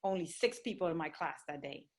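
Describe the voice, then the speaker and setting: Interview guest: woman from Trinidad, middle-aged, easygoing, somewhat low pitch. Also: expressive, brisk. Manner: easygoing, with light laughter and fillers. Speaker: guest, fitness trainer. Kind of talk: conversational interview.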